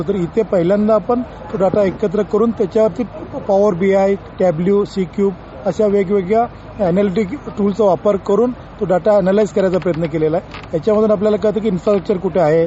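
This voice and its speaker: Fast-talking, high-pitched man explaining things. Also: dark tone. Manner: mixes in many English terms, explanatory. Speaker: senior education official being interviewed. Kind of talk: informal explanatory interview talk. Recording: dull interview audio, faint background noise.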